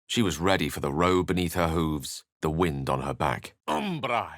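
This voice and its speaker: A man's voice: a bass voice, animated, moderate pace, fairly bright tone, no room sound, studio quality. A man voicing a refined, witty wizard for a video game, speaking in an articulate, theatrical, wry way. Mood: incanting spells. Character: eloquent, witty, refined human male wizard. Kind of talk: video game character voice lines and narration.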